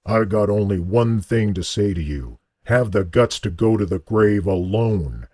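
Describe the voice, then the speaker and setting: A male narrator voicing a threatening man on the phone, drawling his words slowly and sounding distant. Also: fairly low pitch, dark tone, slightly rough, no room sound, studio quality. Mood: menacing. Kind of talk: audiobook reading.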